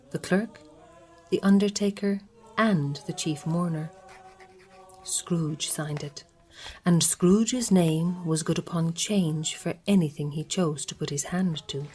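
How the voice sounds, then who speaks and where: An Irish woman narrating a radio play in a slow, confiding storytelling way. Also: lowish voice, dark tone, slightly rough, faint music behind.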